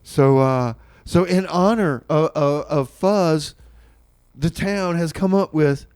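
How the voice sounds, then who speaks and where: A man hosting a community radio music show with casual, rambling, tongue-in-cheek chat, often halting and repeating his words. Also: a little high, a little mellow, clean tone, no echo, studio quality.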